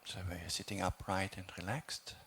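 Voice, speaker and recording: Noticeable background noise sits under a man's low voice with a gravelly creak in it. He leads a guided meditation as a teacher, giving calm, measured instructions. He leaves long pauses between short phrases. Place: meditation hall.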